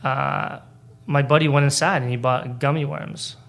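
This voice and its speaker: A man's voice: mid-range voice, fairly even intonation, moderate pace, creaky, a bit bright. A young man from Boston, a Polish native speaker, telling stories in casual chat with lots of fillers and restarts. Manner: rambling storytelling with many fillers and restarts. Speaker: stand-up comedian guest.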